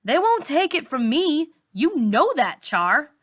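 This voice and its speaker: Storybook role: woman playing a timid schoolgirl standing her ground, clear-edged and bright, plain-spoken and pleading. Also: high-pitched, sing-song, slow, voice pushed up, exaggerated voice. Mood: shaky, pleading. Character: put-upon schoolgirl narrator, timid but stubborn.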